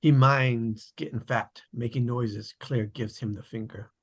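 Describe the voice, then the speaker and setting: A man's voice: mid-range voice, steady tone, unhurried. A man narrating, reading out stage directions at a script table read.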